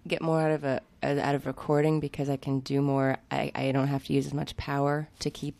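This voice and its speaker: A woman's voice: rumbling low, little pitch movement, a little fast, vocal fry, darkish tone. A woman singer with a cold, chatting casually and laughing often as a guest in a radio studio. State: nursing a cold. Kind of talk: casual radio interview chat.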